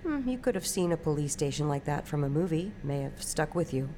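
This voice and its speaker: An actress playing a calm, patient female therapist, speaking in measured, natural audio drama dialogue. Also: low-pitched, slight background noise. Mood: calm, probing.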